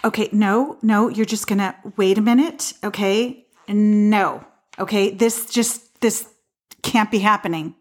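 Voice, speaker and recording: An actress playing a skeptical, easily flustered woman in a comedic audio play, talking in a halting, conversational, protesting way. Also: mid-range voice, lightly acted. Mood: flustered denial. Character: skeptical, flustered woman who rejects romantic destiny.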